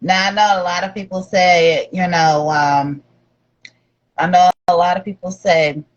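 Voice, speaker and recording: A woman's voice: lowish voice, fairly even intonation, measured pace. A woman hosting a podcast, asking chatty questions in a casual interview and reacting often with "wow". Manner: chatty, asks questions with "wow" and "you know". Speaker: podcast host. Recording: dull lo-fi audio.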